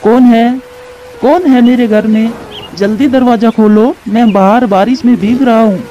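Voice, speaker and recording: A man voicing a gruff, commanding male pigeon householder in a cartoon, on slightly dull audio. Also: very high-pitched, steady tone, a little slow, warm tone, a little hiss or hum. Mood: angry. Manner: scolding, commanding.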